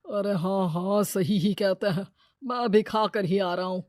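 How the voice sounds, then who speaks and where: A woman's voice: medium pitch, unhurried, darkish tone, no echo, studio quality, natural voice. A woman voicing a greedy, scheming male village moneylender in a cartoon story, pushy and exclamatory.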